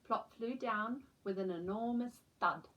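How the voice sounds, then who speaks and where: A woman's voice: on the high side, unhurried, highs cut off. A woman reading a children's story aloud as a storyteller. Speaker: storyteller, teacher.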